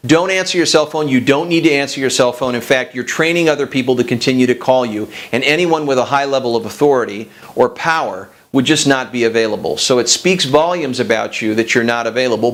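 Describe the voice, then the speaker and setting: A man's voice: mid-range voice, fairly bright tone. A man presents as the host of a business coaching show. His voice sits in a medium register, and he talks straight to the viewer in a directive, instructional way, laying out advice as firm guidance.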